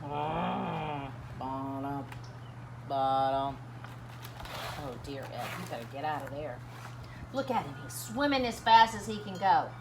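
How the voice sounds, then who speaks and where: A woman reading a children's picture book aloud like a teacher, dramatic and expressive, chatting and asking questions of young listeners. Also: low voice, slow, long pauses. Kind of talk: animated storytime read-aloud with commentary and questions. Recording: clearly noisy background.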